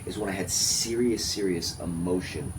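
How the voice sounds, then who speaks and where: A man speaking as a podcast guest in a casual, reflective way, thoughtful and measured in short phrases. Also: low voice. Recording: noisy room ambience.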